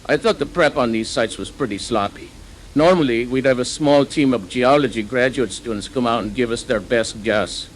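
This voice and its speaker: A man's voice: mid-range voice, moderate pace, natural voice, rough recording. Man acting as skeptical drill operator, faint noise. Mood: skeptical, wry. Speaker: drill operator giving testimony. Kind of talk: conversational first-person horror testimony.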